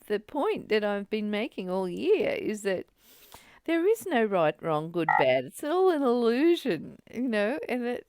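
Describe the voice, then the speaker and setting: A woman's voice: fairly low pitch, darkish tone, clear, resonant tone, no echo, studio quality. A woman hosting a spiritual study, speaking in a reflective, confiding and openly emotional way, repeating phrases for emphasis. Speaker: host of a spiritual book study.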